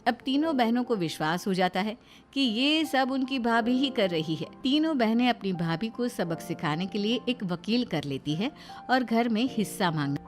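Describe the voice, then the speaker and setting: A woman with a high voice narrates a scripted story as a storyteller. Music plays behind her throughout. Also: animated, moderate pace.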